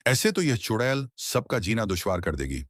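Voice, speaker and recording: A man's voice: lowish voice, very animated, normal speed, fairly bright tone, no room sound, clean studio sound, pitched above the performer's own voice. A man acting a frightened, pleading village husband in a dramatized horror story. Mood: distressed. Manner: pleading, distressed.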